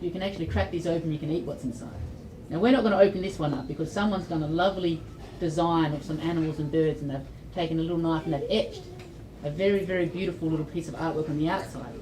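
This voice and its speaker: A woman's voice: deep voice, a little mellow, a little hiss or hum. An Australian woman giving an informal educational talk to young children, explaining simply and often putting questions to them. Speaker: storyteller and presenter at a library children's event. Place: indoor library event room. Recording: echoey room, distant mic, children's chatter.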